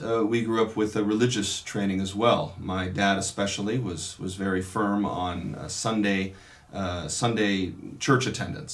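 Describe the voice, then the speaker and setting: A man from California, a Dominican priest, telling his life story in a casual interview, reflective and unhurried, with many pauses and restarts. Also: sits low.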